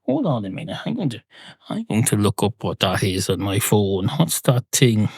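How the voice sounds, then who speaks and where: Deadpan man rambling, dry close sound. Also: on the low side, moderate pace, vocal fry, slightly rough, no room sound. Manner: deadpan, absurd digressions. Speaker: podcast host. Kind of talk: rambling comic solo monologue.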